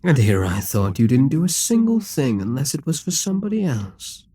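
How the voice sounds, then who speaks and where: A man playing a dominant, gloating Demon King in an intimate ASMR roleplay, smug and coaxing, with a breathy, husky voice that often drops toward a whisper. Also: medium pitch, sing-song, normal speed, mellow, no echo, studio quality. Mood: mocking, seductive. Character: dominant, gloating male Demon King toying with a captive hero.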